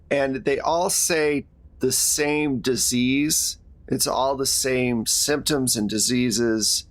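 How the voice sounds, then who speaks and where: A man narrating a tabletop horror game as game master, deliberate and descriptive in a conversational way. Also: medium pitch, fairly bright tone, dry recording.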